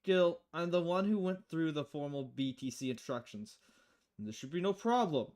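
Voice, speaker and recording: Streamer as stressed, animated young bartender woman. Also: mid-range voice, moderate pace, close to an everyday voice. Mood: indignant. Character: stressed, distracted young female bartender, Jill. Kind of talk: visual novel dialogue read aloud.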